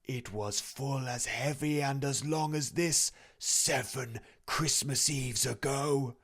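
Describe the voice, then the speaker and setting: A man voicing a tormented male ghost in chains, howling his words in a drawn-out, mournful way. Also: mid-range voice, fairly bright tone, pitched above the performer's own voice. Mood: wailing.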